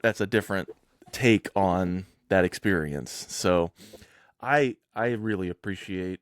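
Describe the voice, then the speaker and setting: Low-voiced man, rambling podcast host. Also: a little slow, no room sound, studio quality. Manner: rambling, with many restarts and filler "like". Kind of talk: casual conversational interview.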